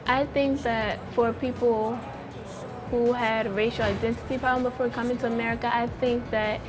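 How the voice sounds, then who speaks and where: A young woman with a fairly high voice speaks earnestly to camera, restating her ideas and starting many thoughts with "I think that." Clear music plays behind her words. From Pennsylvania. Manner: earnest, repeats "I think that", circles back to her point. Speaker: interviewee. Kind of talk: personal testimony to camera. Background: background music.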